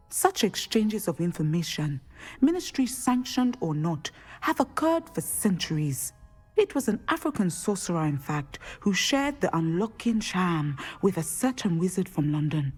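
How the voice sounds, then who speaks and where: An actress playing a wise, dignified Ugandan divination professor, formal and deliberate with dry amusement, in game dialogue. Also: female voice, mid-range voice, breathy edge.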